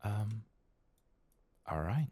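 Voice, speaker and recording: A man speaking softly, sometimes whispering, as he hosts an ASMR game playthrough with murmured asides. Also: on the low side, expressive, extremely slow, breathy edge. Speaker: ASMR gaming host.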